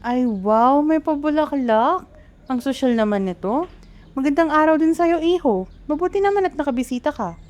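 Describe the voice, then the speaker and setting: A woman's voice: sits high, animated, normal speed, darkish tone. A woman with a Filipino accent playing a chatty, teasing middle-aged mother of three in an amateur radio drama. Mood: teasing, welcoming.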